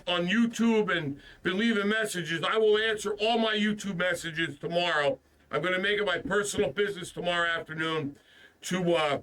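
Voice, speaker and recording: Stuffed up guy venting on a gaming stream, high bright voice, swears a lot and repeats himself, sounds a bit far from the mic. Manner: frustrated, profane, repeats himself. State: stuffed up from the flu. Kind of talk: casual ranting stream talk.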